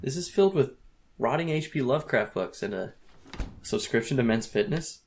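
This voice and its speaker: A man's voice: medium pitch, animated, normal speed, darkish tone, slight background noise, close to an everyday voice. A man playing a crude, exasperated fighter trainer in a comic radio drama, blunt and sweary in his bewilderment.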